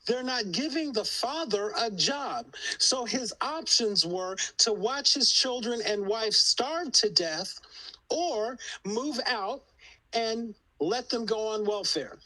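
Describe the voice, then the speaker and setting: Woman, 60s, bright voice, earnest storyteller in a group talk. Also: on the high side, animated, slow, poor audio. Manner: earnest storytelling, urging others to speak up. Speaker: discussion participant. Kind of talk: group discussion.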